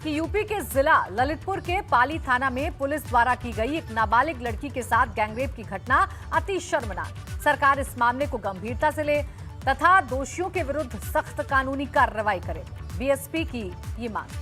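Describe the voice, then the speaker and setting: A woman's voice: high-pitched, lively intonation, normal speed, bright, forceful tone. A woman reading television news as an anchor in a scripted broadcast style. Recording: music bed behind the voice.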